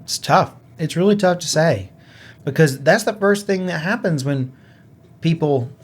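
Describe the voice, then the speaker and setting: A man's voice: fairly high pitch, expressive, unhurried, slightly rough. A man co-hosting a podcast in casual conversation, musing informally with lots of filler words like "like". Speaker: podcast co-host.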